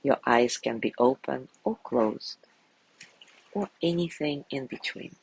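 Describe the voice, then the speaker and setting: A man guiding a sleep meditation in a gentle, soothing way, softly spoken and unhurried, with long pauses between phrases. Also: medium pitch, creaky, husky, poor audio. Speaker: meditation guide.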